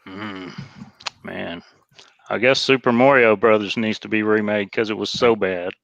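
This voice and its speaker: Creaky-voiced man on a lo-fi call. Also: fairly low pitch, steady tone, measured pace, a bit bright, no echo. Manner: hesitant, unpolished. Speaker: caller. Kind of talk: casual chat. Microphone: internet call. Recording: dull lo-fi internet call.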